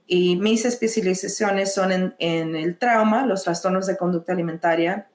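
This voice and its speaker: A woman with a Mexican accent presenting a mental health lesson, measured, pausing often to restart phrases. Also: fairly low pitch, roomy sound. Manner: measured, with frequent hesitations and restarts. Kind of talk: educational webinar presentation.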